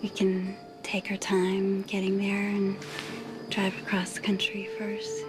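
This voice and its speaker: An actress playing a hopeful, dreamy young woman in a TV soap, with a soft, breathy, wistful delivery. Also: medium pitch, monotone, takes it slowly, natural voice. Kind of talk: television soap opera acting. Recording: loud music over dialogue.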